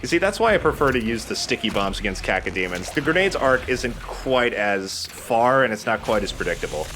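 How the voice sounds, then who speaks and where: A man with a fairly low voice hosts a casual video game playthrough. He explains mechanics and gives his opinions in an offhand way, over audible game noise. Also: expressive, a little fast, fairly bright tone. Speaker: host playing a video game. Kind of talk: casual let's play commentary.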